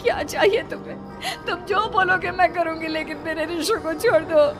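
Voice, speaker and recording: A woman's voice: extremely high pitch, moderate pace, music in the background, voice pushed up. A woman voicing an anxious, protective mother in a melodramatic horror cartoon, speaking with urgent emotion. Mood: terrified pleading.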